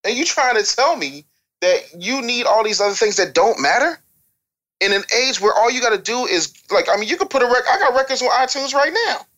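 A man's voice: very high-pitched, lively intonation, brisk, bright, forceful tone. An American man giving opinionated, unscripted advice as a podcast guest, blunt, profane and scolding.

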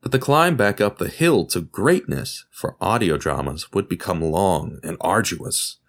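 A man narrating a scripted documentary podcast, telling it like a story with deliberate dramatic emphasis. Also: deep voice, animated.

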